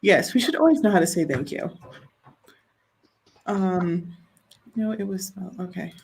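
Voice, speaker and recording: A woman's voice: on the low side, measured pace, creaky, darkish tone, slightly rough. A woman hosting a live writing sprint, chatting casually and reading viewer comments aloud with easy laughs. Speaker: livestream host of writing sprints.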